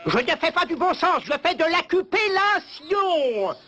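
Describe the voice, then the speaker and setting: A man voicing a greedy, pompous, quick-tempered schemer in an animated series. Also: very high voice, heavily acted. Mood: indignant haggling. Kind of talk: French animated series voice acting. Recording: music under the voice, VHS rip. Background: loud music.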